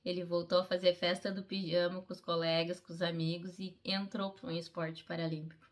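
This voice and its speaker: A woman's voice: fairly low pitch, level tone, a little fast. A middle-aged woman, telling a personal story in a heartfelt, conversational way, as an athlete in a documentary interview. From Brazil. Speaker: Paralympic fencer, interviewee.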